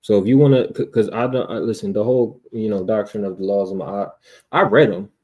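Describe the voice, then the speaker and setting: An American man debating casually in street slang, confrontational, often repeating words and clearing his throat. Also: on the low side, fairly quick, phone-quality sound. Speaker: debater on a religious livestream.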